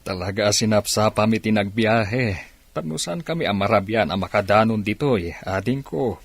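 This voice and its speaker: Kindly middle-aged dad, audio drama; husky, low-leaning, dry sound. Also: male voice, expressive, moderate pace, dry recording. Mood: gentle, fatherly. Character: caring middle-aged provincial adoptive father. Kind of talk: radio drama acting.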